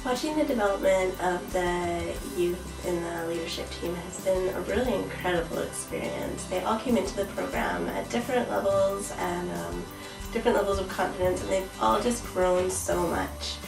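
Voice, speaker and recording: A woman's voice: fairly low pitch, moderate pace, creaky. A woman speaking reflectively in an interview as a project staff member. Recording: roomy, slightly distant, music behind.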